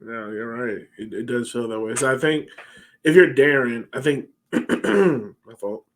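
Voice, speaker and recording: A man's voice: mid-range voice, a little slow. American man chatting about sports. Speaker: podcast host. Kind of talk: casual sports discussion. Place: home room, on a video call. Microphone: headset on a video call.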